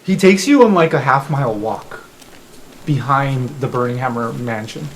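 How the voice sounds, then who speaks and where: A man's voice: mid-range voice, expressive, moderate pace, slight background noise, low-quality recording. A man hosting as game master, narrating an improvised tabletop game in an informal, joking way.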